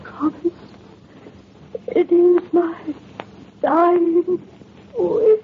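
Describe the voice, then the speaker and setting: A woman's voice: very high voice, extremely slow, heavily acted. A woman playing a stern, self-sacrificing middle-aged aristocrat mother in an old radio drama, with solemn archaic speech. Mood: dying plea. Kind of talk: old-time radio horror drama acting. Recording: muffled, noisy old radio recording.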